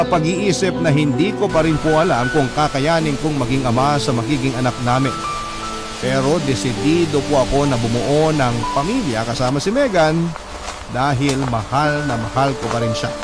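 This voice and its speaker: A man's voice: medium pitch, lightly acted. A man voicing an earnest young father-to-be. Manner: earnest, confessional, addresses the host politely. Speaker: letter sender narrating his story. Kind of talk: radio drama narration.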